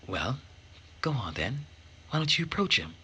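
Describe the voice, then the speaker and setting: A man's voice: mid-range voice, sing-song, moderate pace, bright, forceful tone, voice pushed up. A man voicing a domineering older mother from a visual novel, sweetly needling and condescending. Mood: mocking. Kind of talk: visual novel read-aloud. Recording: background noise.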